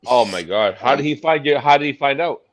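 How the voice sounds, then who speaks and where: A man in his thirties with a lively, swinging delivery, blunt and full of cursing. He talks in a loose, casual way. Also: medium pitch, a little fast. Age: 30s. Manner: blunt and profane. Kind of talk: casual chat.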